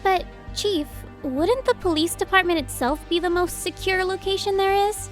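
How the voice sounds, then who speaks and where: A woman's voice: very high-pitched, moderate pace, soft background music. An actress playing an eager, naive young rookie officer in an audio drama, bubbly, girlish and inquisitive. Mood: puzzled, curious. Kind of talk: cartoonish audio drama acting.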